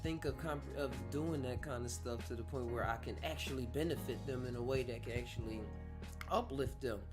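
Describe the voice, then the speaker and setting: Man, twenties, podcast host, reflective, rambling, self-correcting, medium pitch, clear guitar music underneath. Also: fairly quick. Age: 20s. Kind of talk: casual solo podcast talk.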